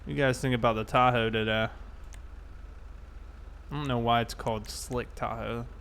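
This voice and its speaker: A man's voice: mid-range voice, steady tone, normal speed, gravelly, a bit bright, a little hiss or hum. A man hosting a casual game livestream, thinking aloud and talking informally to his viewers. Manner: informal, thinking aloud while reading chat. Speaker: live streamer, host.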